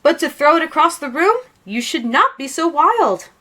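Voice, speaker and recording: Woman voicing a high, fretful storybook mother. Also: sing-song, normal speed, pushed and bright, full character voice. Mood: scolding, dismayed.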